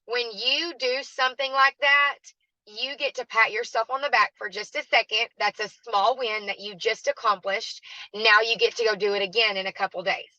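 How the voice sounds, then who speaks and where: A woman with a raspy, hoarse voice, giving a chatty, encouraging training talk as a guest speaker. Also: fairly high pitch, fairly even intonation, moderate pace, bright, forceful tone, no echo, lo-fi sound. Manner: chatty and encouraging, addresses listeners as "y'all".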